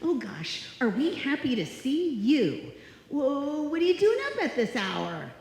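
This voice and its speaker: A woman's voice: sits high, very animated, a little slow, darkish tone, a little hiss or hum, full character voice. A woman playing a sensible but rattled and exasperated roommate in a live old-time radio comedy. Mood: flustered. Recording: echoey hall, live stage performance.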